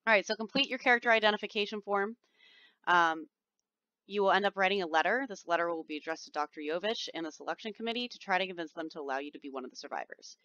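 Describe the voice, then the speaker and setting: A woman's voice: on the low side, expressive, fast talker, bright, forceful tone, no room sound, lo-fi sound. Whiny-voiced woman teacher giving instructions. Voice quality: whiny, nasal-sounding voice. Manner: chatty, reassuring, explains step by step with frequent ums. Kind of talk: recorded classroom instructions over slides. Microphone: computer microphone, screen recording.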